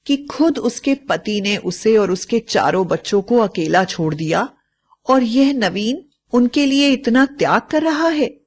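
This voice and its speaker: Slow-paced woman narrating a story. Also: medium pitch. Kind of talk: scripted bedtime story narration.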